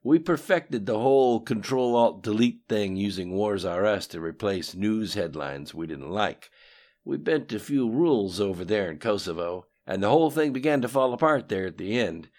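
A man voicing a brash, boastful older male schemer who chuckles and talks in quick, loud bursts with a raspy edge. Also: lowish voice, lively intonation, pitched above the performer's own voice, close to an everyday voice.

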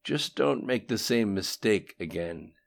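A man voicing a cold, flat-spoken male emissary who talks in a measured, deliberate way. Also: on the low side, creaky, voice pushed up.

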